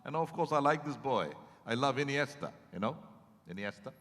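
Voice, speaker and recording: An actor in a dramatized stage reading, playing a reflective, regretful male patient who speaks in a theatrical, halting way and corrects himself. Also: mid-range voice, animated, fairly bright tone, natural voice. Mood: passionate, nostalgic. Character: reflective, regretful male therapy patient reminiscing about life. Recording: echoing hall, stage reading.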